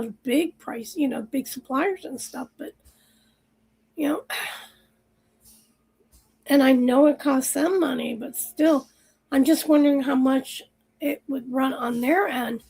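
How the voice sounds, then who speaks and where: A woman hosting a livestream, chatting casually and rambling with viewers while her voice is going hoarse. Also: high-pitched, darkish tone, rough recording. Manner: chatty, rambling, lots of fillers. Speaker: livestream host. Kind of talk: casual chat with live chat viewers.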